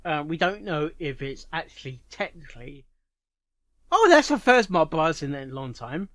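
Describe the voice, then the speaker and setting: A man thinking aloud as he reacts to a story, turning questions over and guessing as he goes. His voice sits a little high for a man. The sound is dry and close. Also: very animated, normal speed, fairly bright tone, no echo. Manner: musing, speculative, thinking aloud. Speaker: reaction video host. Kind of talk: unscripted reaction commentary.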